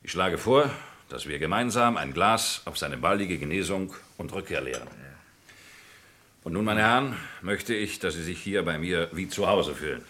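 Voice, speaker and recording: An actor playing a pompous senior partner of a law firm, speaking in a formal, ceremonious way in an old radio crime play. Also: male voice, low-pitched, animated, moderate pace, pushed and bright. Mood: genial. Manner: formal, ceremonious speech-making. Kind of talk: 1950s-80s German radio crime play acting.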